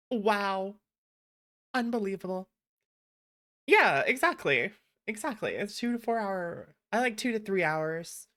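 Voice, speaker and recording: A woman hosting a livestream, chatting casually in an animated, teasing way with some swearing. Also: lowish voice. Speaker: livestreamer, VTuber host.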